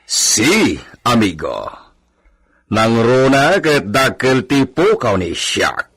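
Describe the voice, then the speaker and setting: A man's voice: mid-range voice, very animated, slow, fairly bright tone, husky, full character voice. An Ilocano-accented man acting a haughty, vindictive hacienda owner in a radio drama, barking and ordering people about imperiously. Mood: bitter, vindictive. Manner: imperious, barks orders at servants. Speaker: actor in a radio comedy drama.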